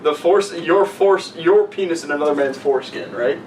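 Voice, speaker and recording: A man hosting a podcast, bantering casually with friends in a profane, excitable, halting way. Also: fairly high pitch, recorded from a distance. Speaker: podcast host. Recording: roomy, off-mic, noisy background.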